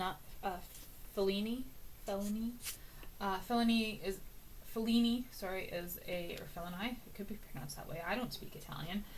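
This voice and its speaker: A woman reading aloud from book notes in a casual, musing way, with many ums and uhs, often trailing off softly. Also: medium pitch, a little hiss or hum, rough recording. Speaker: book reader and annotator.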